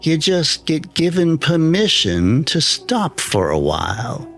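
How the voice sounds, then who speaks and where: Man voicing a weary, slow-talking old engineer. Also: fairly high pitch, wildly swooping pitch, fairly bright tone, soft background music, pitched above the performer's own voice, exaggerated voice. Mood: grave warning. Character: older retired male broadcast engineer, weary and plainspoken.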